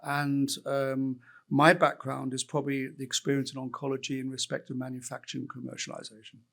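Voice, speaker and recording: Man, gravelly voice, flat delivery, panel intro, self-deprecating. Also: medium pitch, brisk. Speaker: biotech CEO panellist.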